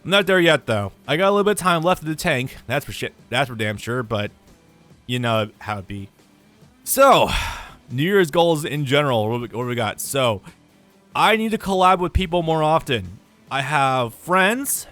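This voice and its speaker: A young man with a tired, raspy voice, talking casually and rambling to his gaming channel's viewers, with lots of fillers and some swearing. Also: medium pitch, a bit bright. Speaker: gaming YouTuber. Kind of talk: casual year-review vlog.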